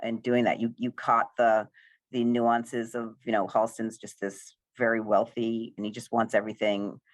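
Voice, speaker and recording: A woman's voice: a bass voice, flat delivery, moderate pace, no echo. A woman screenwriter talking casually in a question-and-answer session, rambling and full of praise for her actors. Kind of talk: casual post-reading Q&A talk.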